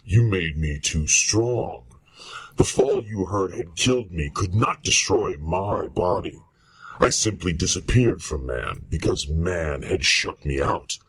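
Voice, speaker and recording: A man's voice: low-pitched, expressive, slightly rough, dry recording, full character voice, rough recording. A man playing a bitter, lonely monster, speaking in a solemn, grandiose way in a radio horror drama. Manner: solemn, grandiose, deliberate delivery. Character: lonely, bitter male giant monster, loathing and pleading. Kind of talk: old-time radio horror acting.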